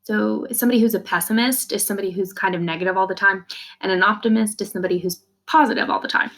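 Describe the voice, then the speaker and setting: A woman's voice: mid-range voice, fairly even intonation, fast, a bit bright. A woman reading a children's novel aloud like a teacher, expressively, in the first person, with simple explanations for young listeners. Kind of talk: read-aloud storytelling with brief explanations.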